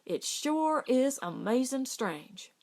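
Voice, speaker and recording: A woman voicing a hearty, teasing older cowboy boss in an audiobook, with loud, drawling, folksy Western speech. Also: high-pitched, sing-song, slow, creaky, pitched above the performer's own voice, exaggerated voice. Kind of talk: audiobook character voice.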